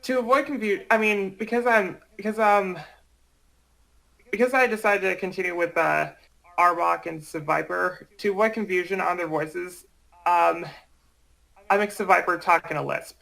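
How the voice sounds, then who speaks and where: A man explaining things in casual chat, haltingly, often repeating and correcting himself. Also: high-pitched, steady tone, creaky, bright, forceful tone, low-quality recording.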